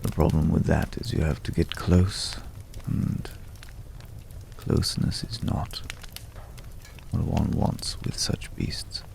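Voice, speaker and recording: Very gravelly, deep, slow-spoken courteous ex-hunter, acted by a man. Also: flat delivery, slight background noise, exaggerated voice. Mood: wry, musing. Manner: measured, hushed, hesitant pauses, suavely polite. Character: courteous, world-weary former vampire hunter in a dark forest. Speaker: ASMR roleplay voice performer. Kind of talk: slow, intimate ASMR roleplay acting.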